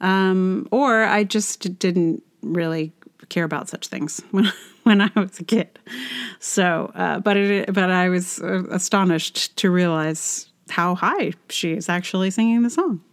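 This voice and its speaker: A woman's voice: on the low side, unhurried. A middle-aged woman hosting a podcast, chatting casually on her own in a wry, self-deprecating way. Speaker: podcast host and musician. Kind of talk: casual solo podcast monologue.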